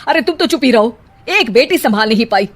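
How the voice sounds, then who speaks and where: A woman's voice: a little high, animated, fairly quick. A woman voicing a frustrated, grumbling, sarcastic father in a cartoon family drama. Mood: irritated, sarcastic. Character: frustrated, blustering father of the bride. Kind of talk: Hindi moral-story cartoon dubbing.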